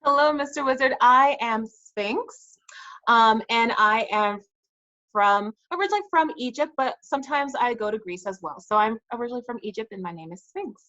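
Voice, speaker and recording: A woman voicing a friendly female sphinx guardian in an improvised kids' interview, speaking in a relaxed, conversational way with frequent "um"s and "like"s over a video call. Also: a little high, moderate pace, lo-fi sound. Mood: calm thoughtful. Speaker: interview guest. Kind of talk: improvised role-play for kids.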